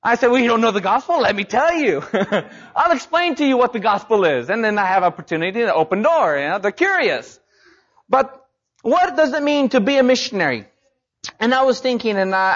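Male preacher, high voice, emphatic sermon delivery, dull lo-fi recording. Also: a bit bright. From Mexico. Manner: emphatic, rising to high pitch on key points. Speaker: missionary preacher.

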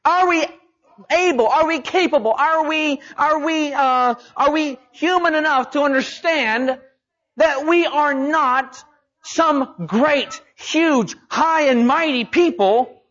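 A man's voice: very high voice, slow, a bit bright, dull audio. A man preaching a sermon as a missionary, talking casually and rising emphatically on his key points. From Mexico.

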